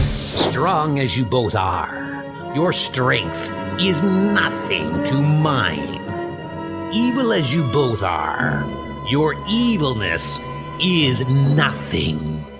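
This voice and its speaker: A man voicing a scheming, vengeful god of evil in a comic book dub, speaking in a theatrical, deliberate, grandiose way. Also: medium pitch, exaggerated voice.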